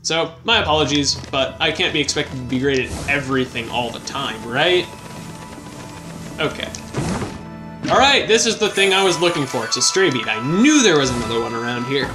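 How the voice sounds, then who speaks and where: A man's voice: medium pitch, animated, normal speed. A young man casually commenting on his video game playthrough, chatty and self-deprecating, with bouncy exclamations. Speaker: let's play host. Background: game music.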